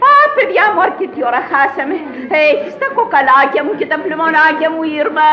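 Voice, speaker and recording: An actress plays an imperious, eccentric old countess in a radio play. Her voice sits fairly high and she orders everyone about like a mother. The old recording is dull, with music behind. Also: lightly acted. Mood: brisk, triumphant. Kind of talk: vintage Greek radio theatre acting.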